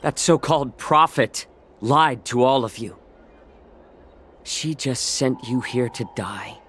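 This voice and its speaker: A man voicing a wary, shrewd fox-folk captive in a video game, measured and quietly defiant. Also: medium pitch, slight background noise. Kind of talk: dramatic video game voice acting.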